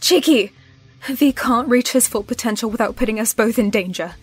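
Woman acting a breathy, anxious British heroine. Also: on the high side, moderate pace, soft background music. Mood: urgent alarm. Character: protective, anxious young heroine haunted by loss. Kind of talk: audio roleplay acting.

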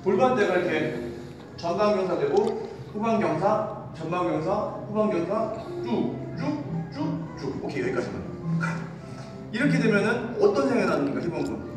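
A man in his thirties, a fitness coach, giving an animated exercise lesson while demonstrating moves in a large indoor gym, filmed from a distance. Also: sits high, moderate pace, music in the background. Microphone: far camera. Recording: echoey gym from far off.

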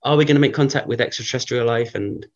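Rambling man with a croaky morning voice. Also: mid-range voice, fast talker, highs cut off. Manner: rambling, thinks aloud with many fillers. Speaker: guest researcher. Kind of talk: casual interview talk.

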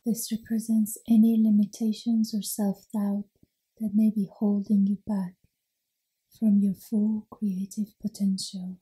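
A woman leading an ASMR guided meditation in a soothing, unhurried, mostly whispered delivery. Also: mid-range voice, level tone, mellow, a little hiss or hum, rough recording.

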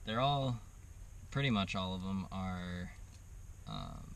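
A man's voice: fairly low pitch, vocal fry. A young man vlogging on a road trip in a casual, rambling way with lots of fillers, recorded on an action camera in his car and by the sea. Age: young adult. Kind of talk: casual travel vlog. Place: inside a car and outdoors by the sea. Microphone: action camera on dashboard or held at arm's length. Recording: loud car and wind noise.

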